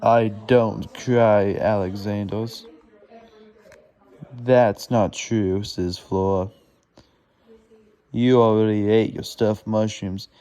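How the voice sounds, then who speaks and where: A man reads a children's storybook aloud haltingly, stumbling over words and correcting himself as he goes. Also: fairly low pitch, steady tone, warm tone. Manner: stumbling, laboured reading with self-corrections.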